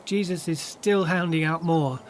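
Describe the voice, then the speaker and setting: A patient, kindly biblical disciple played by a man in a radio play. His voice is on the high side, and he reassures gently. Faint outdoor ambience with birds is heard behind. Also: unhurried, a little hiss or hum, lightly acted. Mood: reassuring.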